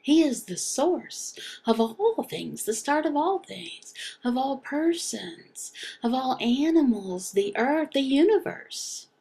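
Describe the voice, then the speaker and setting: A woman giving an explanatory religious lesson in short, emphatic, halting phrases, often asking and answering questions. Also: high-pitched, big pitch swings, vocal fry, warm tone. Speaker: teacher voicing a signed religious lesson.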